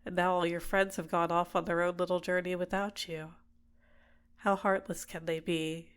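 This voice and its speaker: A woman playing a tenderly possessive, manipulative villain captor in an intimate ASMR roleplay, speaking in a soft, soothing, deliberate way. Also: fairly low pitch, little pitch movement, clear, resonant tone, close to an everyday voice. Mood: sympathetic, insinuating.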